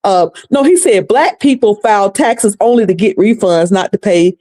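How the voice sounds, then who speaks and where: A woman's voice: medium pitch, lively intonation, normal speed, dark tone. A woman from North Carolina with a Southern accent, hosting a casual recap stream and chatting folksily with her viewers. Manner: chatty, folksy, addresses viewers as y'all and sugar. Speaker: commentary livestream host.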